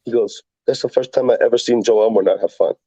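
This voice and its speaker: A man guesting on a podcast, talking fast and rambling excitedly, swearing freely and acting out what others said. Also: on the low side, no room sound, lo-fi sound. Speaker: guest fighter. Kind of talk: casual podcast chat.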